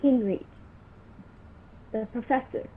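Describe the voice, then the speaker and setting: Woman as secretive female spy for radio play: high-ish, slow, dramatic, halting; tinny noisy call line. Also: slightly rough. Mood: weak, desperate. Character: frightened, secretive woman spy, later gravely wounded. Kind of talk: old-time radio play acting. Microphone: video call. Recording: muffled, noisy video call audio.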